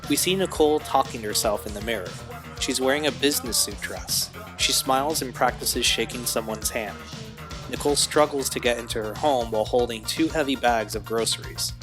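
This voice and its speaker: A man's voice: mid-range voice, fairly quick. A man giving scripted narration for an audio drama. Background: music bed.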